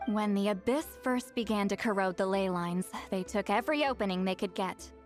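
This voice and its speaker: An actress voicing a proud, grumpy young female shaman with a secretly soft heart in an anime video game. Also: medium pitch, a little fast, faint music behind, lightly acted. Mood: haughty, brisk.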